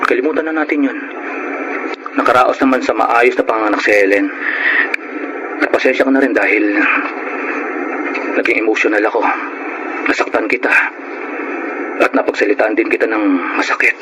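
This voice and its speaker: A man's voice: fairly low pitch, normal speed, long pauses, background noise, natural voice. A man with a Filipino accent playing an earnest, protective young husband in an emotional radio drama. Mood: gentle, forgiving. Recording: music behind the voice.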